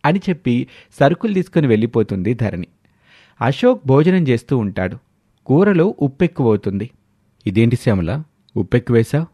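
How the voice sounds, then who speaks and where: A man narrating a moral story in steady storybook style. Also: medium pitch, moderate pace, slightly rough, dry recording. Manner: steady storybook narration, voicing the men too.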